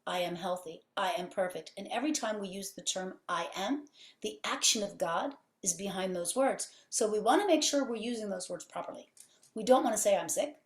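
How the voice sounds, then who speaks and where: A woman's voice: medium pitch, a little fast. Fervent woman in a roomy space. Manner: fervent and emphatic, piling up repeated phrases. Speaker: podcast guest, healing center owner. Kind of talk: conversational interview. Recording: roomy echo.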